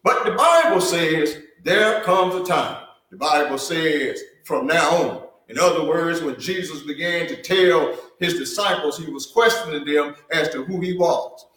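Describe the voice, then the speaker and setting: High-voiced preacher in an echoey room. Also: male voice, unhurried, hardly any pauses, fairly bright tone, far from the mic. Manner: preaching with rising emphatic repetition. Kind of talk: sermon.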